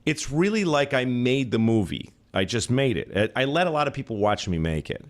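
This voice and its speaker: A man's voice: medium pitch, sing-song, brisk. A man in his forties talking casually as a podcast guest, rambling and restarting his sentences, often reaching for sports comparisons. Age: 40s. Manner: rambling, self-interrupting, with sports analogies. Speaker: comedian guest.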